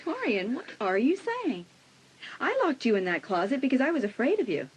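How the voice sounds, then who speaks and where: A woman playing a taunting, menacing teenage younger sister in a dramatised audiobook, with a breathy, husky voice. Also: high-pitched, very animated, moderate pace, full character voice. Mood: resentful. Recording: slightly dull sound.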